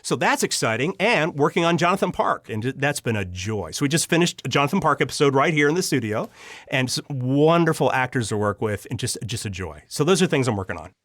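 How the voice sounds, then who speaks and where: A man's voice: medium pitch, very animated, fairly quick, a bit bright, dry recording. A man speaking conversationally as an interview guest, in an earnest, encouraging manner. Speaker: podcast guest, audio drama storyteller. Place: recording studio.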